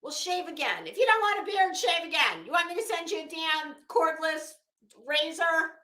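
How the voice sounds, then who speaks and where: A woman's voice: very high voice, animated, moderate pace, sharp tone. A middle-aged woman chatting casually as a livestream host, greeting viewers with teasing, salty banter. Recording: echoey room.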